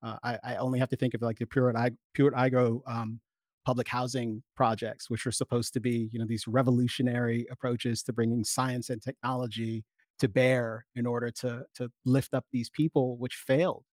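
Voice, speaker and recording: A man speaking as an interview guest, making an explanatory argument in measured, articulate long sentences. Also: mid-range voice, level tone, a little mellow, no room sound.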